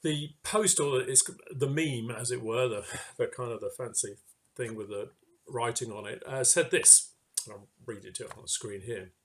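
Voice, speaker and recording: A man giving an informal sermon reflection in a hesitant, halting way, pausing mid-phrase. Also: mid-range voice. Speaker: church speaker giving a reflection.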